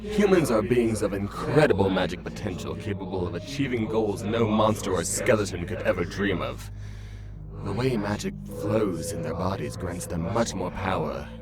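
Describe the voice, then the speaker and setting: An actor playing a calm, proud skeleton scientist in a comic dub, speaking in a formal, measured, explanatory manner. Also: male voice, deep voice, loud music behind the voice, natural voice. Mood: patient, proud. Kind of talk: fan comic dub voice acting.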